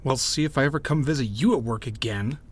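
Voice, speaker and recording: A man's voice: medium pitch, fast talker, close to an everyday voice. A man playing a cocky, theatrical young joker in an audio roleplay, given to mock offence. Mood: playful, challenging. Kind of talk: interactive audio roleplay acting.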